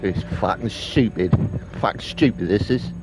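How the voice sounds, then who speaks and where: A man's voice: lowish voice, normal speed, gravelly, rough recording. A man giving casual, sweary, jokey commentary while playing a video game. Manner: sweary, jokey, mocking, laughs often. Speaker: gaming commentator. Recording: voice over game audio with faint music.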